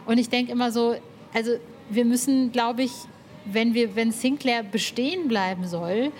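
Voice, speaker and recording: A woman's voice: a little high, normal speed, darkish tone, a little hiss or hum. A woman hosting a podcast interview in a casual, enthusiastic, slangy way.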